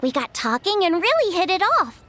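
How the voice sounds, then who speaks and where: A woman's voice: very high voice, very animated, fairly quick, background noise. A woman playing a sweet, caring young girl in an English video game dub, with a youthful, earnest, friendly delivery. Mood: cheerful. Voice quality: youthful, girlish.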